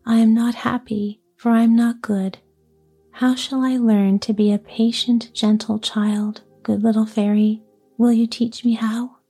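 A woman voicing a sad, sweet little girl in a soft whisper. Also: on the high side, flat delivery, measured pace, husky, faint music behind. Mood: wistful.

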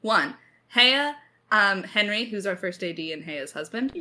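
A woman in her twenties chatting casually as a writer in a creator Q&A, candid and enthusiastic, quick to laugh. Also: medium pitch, animated, bright, forceful tone. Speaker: audio drama writer in a creator Q&A. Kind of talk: casual chat.

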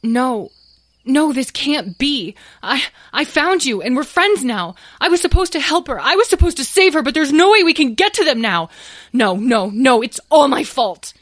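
Woman playing a young stable boy, higher voice, stammery, close dry recording. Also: animated, moderate pace, a little mellow, dry recording, natural voice. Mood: despairing. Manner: tearful, stammering. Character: earnest young stable boy, kind-hearted and self-doubting. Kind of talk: storybook character voice.

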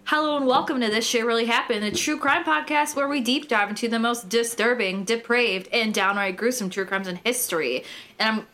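Chatty high-voiced young woman. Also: fairly quick, a bit bright. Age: 20s. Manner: chatty, swears casually, laughs often. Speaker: podcast co-host. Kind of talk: casual chat.

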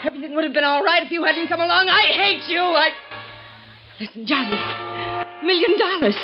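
A woman's voice: very high-pitched, animated, fairly quick. A woman playing a desperate, scheming murderess in theatrical 1950s radio drama style, pleading. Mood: frantic, pleading. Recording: muffled old radio recording with music.

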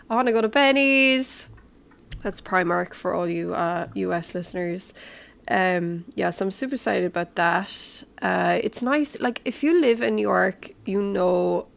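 A woman hosting her podcast in a casual, rambling solo chat, her voice croaky from a cold. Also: mid-range voice. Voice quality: gravelly, croaky. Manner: rambling, chatty, many fillers. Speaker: podcast host. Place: New York.

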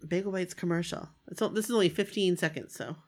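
A hoarse, congested woman from Maine co-hosting a casual podcast, chatty and quick to laugh. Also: fairly low pitch. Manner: chatty storyteller, laughs often. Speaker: podcast co-host. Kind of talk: casual chat.

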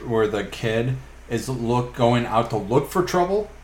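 A man's voice: medium pitch, lively intonation, unhurried, a little mellow. A man co-hosting a casual horror film podcast, chatting loosely with frequent "like" fillers. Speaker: podcast co-host. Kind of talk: casual conversational film review. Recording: roomy echo, faint background noise.

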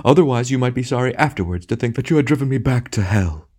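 A man's voice: mid-range voice, expressive, moderate pace, mellow, voice pushed up, lightly acted. A man voicing a bullying, theatrical phantom tragedian in an audiobook, declamatory and melodramatic, full of grandiose self-pity. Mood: indignant. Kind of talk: dramatic audiobook character acting.

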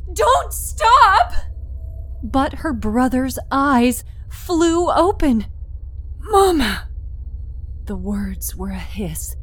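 A woman narrating dramatic horror fiction with deliberate, suspenseful phrasing. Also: a little high, darkish tone, dry recording.

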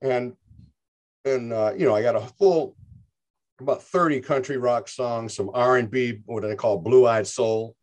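A man's voice: mid-range voice, measured pace, darkish tone. An older man in a casual interview, chatting in a rambling way with frequent restarts. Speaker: interview guest, musician.

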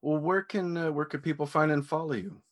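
A man's voice: fairly high pitch, steady tone, brisk. A man hosting a casual podcast interview in an enthusiastic, affirming manner. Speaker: podcast host.

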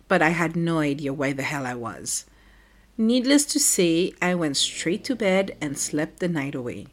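A middle-aged woman hosting a podcast, telling a personal story in a candid, wry, conversational way, her voice still slightly rough after the flu. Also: fairly low pitch. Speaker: podcast host and life coach. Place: home.

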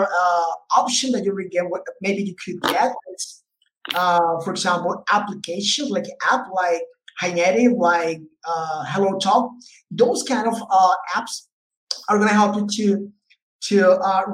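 A man from Colombia with a Colombian Spanish accent, a guest English teacher talking casually with fillers and restarts. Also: high-pitched. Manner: loose, repetitive, many fillers and restarts. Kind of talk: casual interview talk. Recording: roomy echo.

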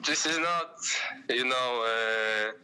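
Man, hesitant student, slow speech, very bright tone. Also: mid-range voice, rough recording. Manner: hesitant, many pauses.

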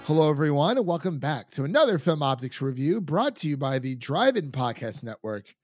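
A man's voice: a little high, sing-song, fairly quick, no echo, clean studio sound, faint music behind. A man hosting a film review podcast, chatting in an informal, enthusiastic, rambling way. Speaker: podcast host. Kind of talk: casual film review chat.

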